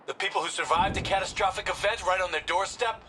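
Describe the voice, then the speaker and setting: An actor playing a bitter, righteous rogue soldier in a video game, speaking in impassioned rhetorical speeches. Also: male voice, high voice, quick pace, close to an everyday voice. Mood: righteous anger. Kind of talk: video game cutscene acting. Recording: loud battle and vehicle noise.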